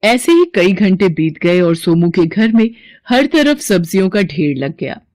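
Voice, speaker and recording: Low-pitched female storybook narrator, dry sound. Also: expressive, unhurried, a little mellow, no echo.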